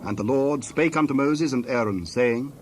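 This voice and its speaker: A man narrating scripture from a script in a measured, solemn storytelling manner. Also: mid-range voice, a little hiss or hum.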